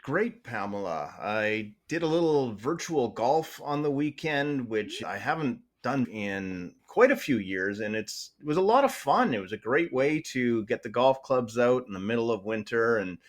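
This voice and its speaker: A man co-hosts a podcast, chatting in an easygoing way. His voice rises and falls a lot in a lively pattern around an ordinary middle pitch. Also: normal speed, hardly any pauses. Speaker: podcast co-host. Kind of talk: casual podcast chat.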